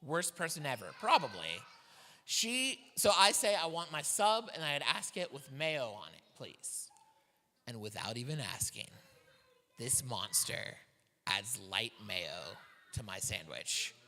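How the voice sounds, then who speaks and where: A young man doing a stand-up set in a church hall, with a high voice for his size and a self-deprecating, animated way of telling stories. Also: a little slow, a little hiss or hum. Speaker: stand-up comedian. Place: church hall with live audience. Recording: long hall reverb from a church stage.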